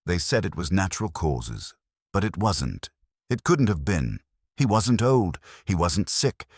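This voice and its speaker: A man voicing an anxious, determined woman who owns a bakery, halting and earnest in a detective story. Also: low-pitched, big pitch swings, breathy edge, no echo, clean studio sound, heavily acted. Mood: anxious, insistent.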